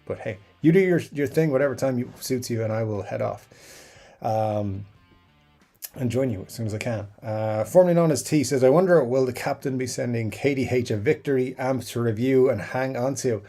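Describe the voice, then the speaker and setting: A middle-aged man hosts a casual live stream, reading comments aloud and musing at length. His voice sits at an ordinary pitch, and his talk wanders with fillers, restarts and asides. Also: moderate pace, mellow, soft background music. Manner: rambling, chatty, frequent fillers and restarts. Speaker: YouTube guitar channel host.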